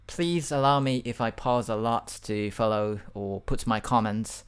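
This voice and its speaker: Unhurried speaker. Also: mid-range voice, clear, resonant tone. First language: Japanese. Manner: hesitant, halting, with repeated words and fillers. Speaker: video reactor. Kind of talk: casual reaction commentary.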